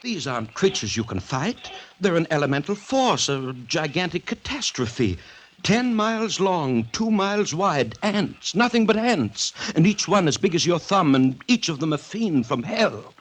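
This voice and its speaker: A man's voice: on the high side, very animated, measured pace. An actor playing an alarmed man giving an urgent, melodramatic warning in a 1940s radio adventure. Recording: faintly noisy old radio recording.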